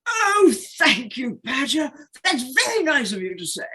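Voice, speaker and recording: A woman's voice: sits high, very animated, unhurried, bright, forceful tone, exaggerated voice. A woman voicing a spoilt, foolish, boastful toad in an audio play, naive and prone to stammering. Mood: sheepish. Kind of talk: community audio play acting. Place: living room, recorded over video call.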